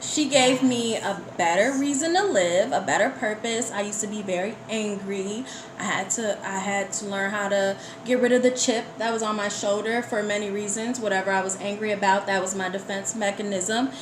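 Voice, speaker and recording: A woman's voice: mid-range voice, normal speed. A woman from the United States, a guest poet in a casual interview, talking candidly and conversationally about personal things. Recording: echoey room with background noise.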